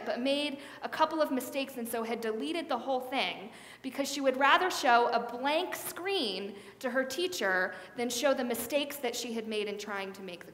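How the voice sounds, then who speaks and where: A woman's voice: sits high, fairly bright tone. A middle-aged woman pastor giving a conversational sermon, gesturing as she speaks, on a headset mic in a large church sanctuary. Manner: conversational preaching with hand gestures. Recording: echoey hall with long reverb.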